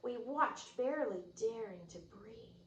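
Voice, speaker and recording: A woman reading a children's book aloud with expressive, storytelling delivery. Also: on the high side, a little slow. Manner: expressive, drops to whispers at quiet moments. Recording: roomy echo, distant mic, faint noise.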